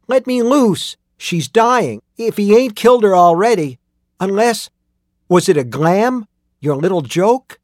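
A man's voice: sits high, animated, unhurried, darkish tone, no echo, studio quality, voice pushed up. A man voicing an earnest, urgent young country boy in an audiobook story, speaking with a raised, pleading voice. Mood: frantic. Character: frantic young country boy, devoted to his mother.

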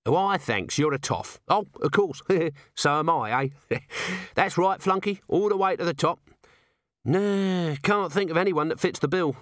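A man playing a wheezy, scruffy little lowlife corporal, higher-pitched. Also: a little slow, a bit bright, no room sound, clean studio sound. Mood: tipsy, pleased. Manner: colloquial, dropped standard grammar, blurting. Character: scruffy, dim, crude, nervous male lowlife corporal.

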